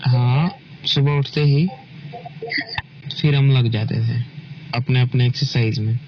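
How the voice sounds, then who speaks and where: A man's voice: medium pitch, steady tone, takes it slowly. A man in a casual, intimate phone conversation with his partner, often checking for agreement as he talks. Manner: often ends remarks seeking agreement. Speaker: partner in a private couple's phone call. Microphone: phone call. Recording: noisy, dull lo-fi phone call recording.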